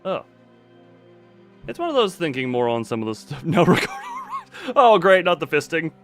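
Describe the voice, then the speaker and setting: A man chats through a game stream with a very lively, varied pitch. He slips between muttered asides and reading dialogue out loud. Soft game music plays underneath. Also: moderate pace. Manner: chatty, muttering asides to viewers. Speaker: live streamer. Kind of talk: casual game stream commentary mixed with reading dialogue aloud.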